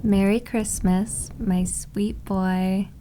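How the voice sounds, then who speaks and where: A seductive, big-sisterly maid voiced by a woman coos in a medium-pitched voice. She is syrupy, teasing and quietly possessive, in a roleplay ASMR drama with clear background noise. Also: fairly even intonation, drawn-out words, low-quality recording. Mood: sweetly possessive.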